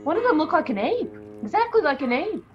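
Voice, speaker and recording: A woman's voice: high voice, fairly quick, lo-fi sound, soft background music. A woman playing a feisty, flustered, slangy cab driver in a 1940s radio drama. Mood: agitated. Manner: slangy, excitable storytelling.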